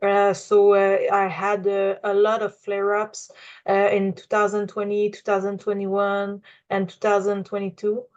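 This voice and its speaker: A woman in her twenties from Quebec with a Quebec French accent, hesitantly telling her own story with many pauses and fillers, English not her first language. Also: mid-range voice, completely flat delivery, a little mellow, dull audio. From Quebec, Canada. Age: 20s. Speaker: client giving a testimonial. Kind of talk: unscripted personal testimonial.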